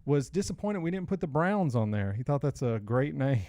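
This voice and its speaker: Guy in his 40s chatting on a podcast, rambly and informal, medium pitch, no room echo. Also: very animated, normal speed, warm tone, studio quality. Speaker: podcast co-host. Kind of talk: casual banter and storytelling.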